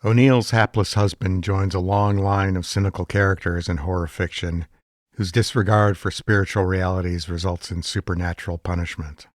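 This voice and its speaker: Very deep-voiced man, dry studio narration. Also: flat delivery, moderate pace, dry recording. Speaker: program presenter. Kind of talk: scripted documentary narration.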